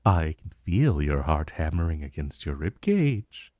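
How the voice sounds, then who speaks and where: A man's voice: very deep voice, very animated, unhurried, a little mellow, dry recording, lo-fi sound, heavily acted. A man playing an ancient dragon in an intimate ASMR roleplay, possessive and condescending, with a smug, purring, teasing menace. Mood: teasing, amused.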